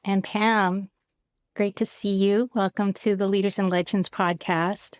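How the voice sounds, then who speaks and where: A woman's voice: mid-range voice, level tone, a little slow, no echo, clean studio sound. A middle-aged woman hosting a podcast in a conversational interview style over a video call. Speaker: podcast host. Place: indoor room at home or office, video call.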